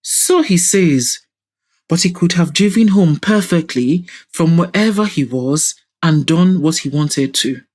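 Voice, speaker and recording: A woman's voice: low-pitched, darkish tone, slightly rough, full character voice. A woman playing an arrogant middle-aged businessman in an audio drama, pompous, mocking and self-righteous. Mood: dismissive, smug. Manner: pompous, scornful.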